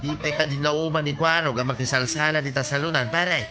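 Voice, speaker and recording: A man's voice: a little high, fairly even intonation, fairly quick, close to an everyday voice. Casting: male actor as nudging Ilocano barangay pal for comic radio drama, music behind the lines. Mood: teasing. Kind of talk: comedy radio drama acting.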